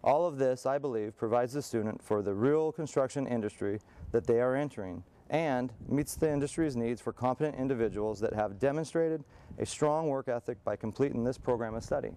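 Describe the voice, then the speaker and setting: A man giving a prepared promotional talk as a college program representative, in a formal, measured and wordy manner. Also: medium pitch, mellow.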